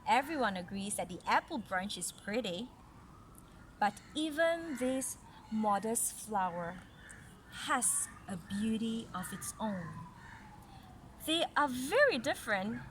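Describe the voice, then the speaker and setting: A woman reading a fairy tale aloud to children in an instructive way, pausing for chatty comments and questions. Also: fairly high pitch. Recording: noisy home recording.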